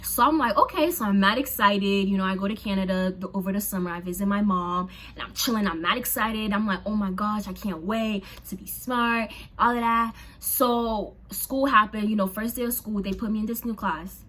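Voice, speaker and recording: A young woman from Haiti whose first languages were Creole and French, chatting casually to camera in an expressive, slangy way. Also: mid-range voice, brisk, hardly any pauses. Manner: chatty and expressive, slangy, lots of fillers and exclamations. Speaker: vlogger. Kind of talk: casual storytime chat to camera.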